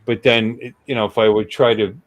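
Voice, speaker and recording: A man's voice: on the low side, flat delivery, brisk. A man co-hosting a casual livestream chat over a video call, thinking aloud hesitantly and often repeating himself.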